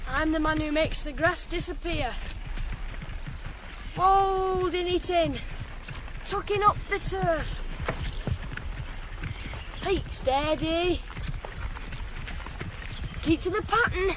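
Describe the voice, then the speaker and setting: A man's voice: very high voice, takes it slowly, long pauses. A young male actor playing a boastful, headstrong teenage ploughboy in a radio drama. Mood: intent, absorbed. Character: cocky, single-minded sixteen-year-old ploughboy. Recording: noisy 1980s radio drama recording.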